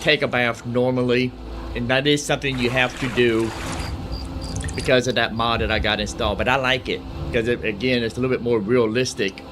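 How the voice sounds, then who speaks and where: A man giving casual video game commentary as host of a roleplay playthrough, rambling and thinking out loud, often repeating his phrases. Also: mid-range voice, level tone, brisk, noisy background.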